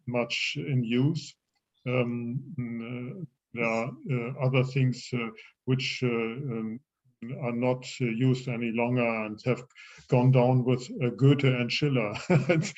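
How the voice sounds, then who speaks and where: A man with a German accent explaining things as a language teacher in English, haltingly searching for words. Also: mid-range voice, darkish tone, muffled recording. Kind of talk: explaining in an online language class.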